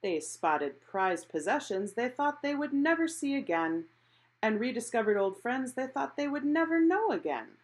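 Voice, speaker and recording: A woman reading a picture book aloud expressively, in an animated storytelling manner. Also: a little high, moderate pace. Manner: animated, acting out characters' exclamations.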